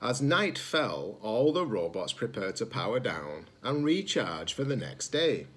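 A man in his forties, a teacher-librarian reading a children's picture book aloud, expressive and slightly husky, pausing to ask listeners questions. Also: lowish voice. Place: indoors, room at home with sloped ceiling.

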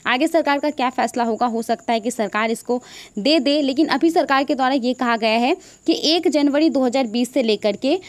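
A woman's voice: high voice, moderate pace, no echo. A woman with an Indian accent presenting an explanatory news voice-over, taking the listener through it step by step. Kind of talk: explanatory news narration in Hindi with English terms.

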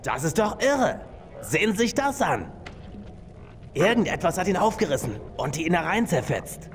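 Agitated game soldier: animated, fairly high, long reverb with faint noise. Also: male voice, normal speed. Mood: shaken. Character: shaken male soldier examining carnage. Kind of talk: dubbed video game cutscene acting.

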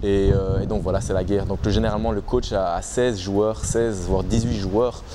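A man's voice: fairly low pitch, fairly even intonation, moderate pace, heavy background noise. A young man, talking casually to the camera about his time as a basketball player, with many restarts and fillers. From Belgium. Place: outdoors in front of trees.

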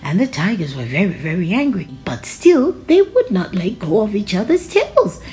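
A woman reading a children's story aloud in an expressive narrating style. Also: medium pitch, normal speed, soft background music.

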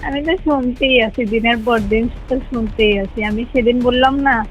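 A Bangladeshi woman talks in a private phone call. Her voice is fairly high, and the recording is dull and lo-fi with music behind it. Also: normal speed. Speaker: hospital cleaner.

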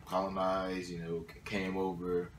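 A man's voice: sits low, monotone, moderate pace, a little hiss or hum. A young man talking casually in a discussion, hesitant with many fillers. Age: young adult. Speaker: student panelist. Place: small indoor room at a table. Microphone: far camera. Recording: echoey room from far off.

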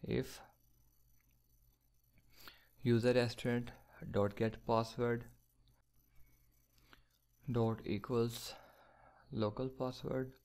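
Man, coding tutor voice-over: gravelly, flat delivery, slow step-by-step dictation of code. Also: mid-range voice. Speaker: programming tutor.

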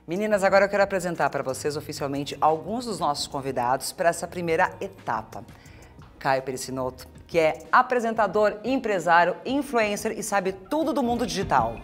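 A woman hosts a reality competition with energetic, encouraging delivery. Her voice sits fairly low, with soft music playing under her. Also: a little fast. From Brazil. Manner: energetic, cheering on the contestants. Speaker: show host. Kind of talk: upbeat reality show hosting.

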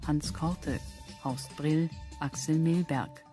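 A woman's voice: deep voice, fairly even intonation, slow, warm tone. A woman reading scripted credits as the announcer of a radio play. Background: music.

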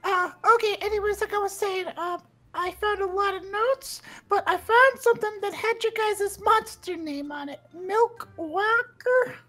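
A woman's voice: very high-pitched, a little slow, a bit bright, soft background music, poor audio. A woman voicing a small, eager, apologetic schoolboy in comedy dialogue, pleading and rambling in a childlike way.

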